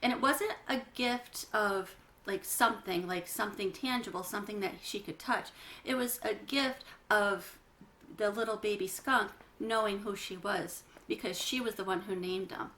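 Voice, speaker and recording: A woman's voice: medium pitch, moderate pace, sharp tone. A woman reading a children's book aloud, narrating with chatty asides to young listeners. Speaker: children's read-aloud reader.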